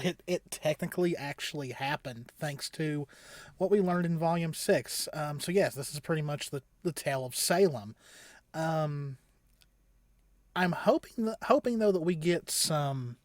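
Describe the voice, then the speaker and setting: A man hosting a reaction show with casual commentary, often halting and thinking aloud as he goes. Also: fairly high pitch, no echo.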